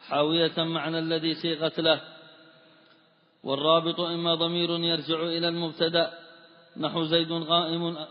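A man reading a grammar commentary aloud to his teacher in a steady chanting cadence. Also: on the high side. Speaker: student reading the text aloud. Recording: dull lo-fi recording with long hall reverb.

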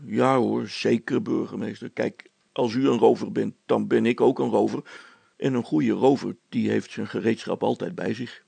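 A man's voice: on the low side, steady tone, moderate pace, gravelly, dark tone, breathy edge, no room sound, heavily acted. A man playing a cocky, cheerful rover in a storybook, jaunty and boastful. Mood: cheerfully boastful. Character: cocky, cheerful male rover with his tools.

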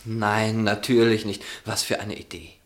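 An actor playing a guarded, ironic young upper-class son in a radio drama, with a clipped, dryly teasing way of talking. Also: male voice, lowish voice, flat delivery, a little slow, lightly acted. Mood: forced lightness. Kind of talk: German radio drama acting.

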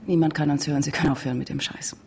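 A woman's voice: deep voice, steady tone, rapid-fire, gravelly, mellow, slight background noise. An actress in a radio drama playing a tense, defiant wife of a missing man, speaking in a controlled, insistent way. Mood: cold, threatening. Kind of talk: radio drama acting with inner monologue narration. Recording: studio radio play with music underneath.

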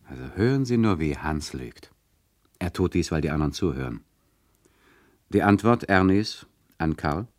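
An actor playing an ironic, chatty radio play narrator who lectures the listeners in a mock-didactic, hesitant way. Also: male voice, low voice, animated, moderate pace, long pauses, no room sound, clean studio sound. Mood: wry.

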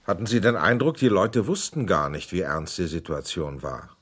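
A man's voice: sits low, normal speed, a bit bright, slightly rough. An actor playing a persistent male senator who formally questions witnesses in a German documentary radio drama. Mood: probing, formal. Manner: probing, insistent, formal. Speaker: investigating questioner.

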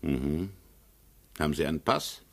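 An actor in a German radio play playing a haughty, evasive American consul with a condescending, officious manner. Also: male voice, very deep voice, animated, unhurried, vocal fry, exaggerated voice.

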